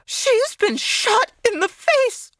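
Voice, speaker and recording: Shrill, very high-pitched hysterical landlady. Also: very animated, a bit bright, full character voice. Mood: horrified. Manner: shrieking, gasping, drawn-out horrified delivery. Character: hysterical married landlady, shocked and accusing. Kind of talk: melodramatic comedy audio drama acting.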